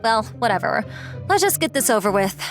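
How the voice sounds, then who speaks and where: High-voiced, huffy petite young woman played by actress. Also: sing-song, a little fast. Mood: exasperated. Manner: huffy, flustered, scolding. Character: petite, indignant, haughty young woman interviewer, childlike cuteness. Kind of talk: scripted manga dub dialogue. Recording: prominent jingly music behind the voice.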